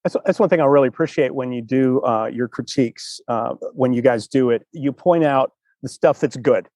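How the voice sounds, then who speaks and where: A man hosting a casual podcast interview in an informal, expressive, rambling way. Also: mid-range voice, moderate pace, no room sound. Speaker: podcast host.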